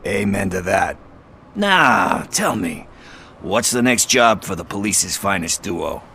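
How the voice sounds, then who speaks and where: A man's voice: low-pitched, big pitch swings, slow pace, creaky, bright, forceful tone, a little hiss or hum, exaggerated voice. An actor playing a streetwise male informer, sardonic and chatty, talking in casual slang with a wry edge. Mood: wry, playful. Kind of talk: video game dialogue acting.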